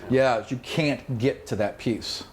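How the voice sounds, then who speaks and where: A man's voice: mid-range voice, slow pace, very noisy. A man narrating a tabletop fantasy game as game master, conversational, often repeating words and voicing sound effects.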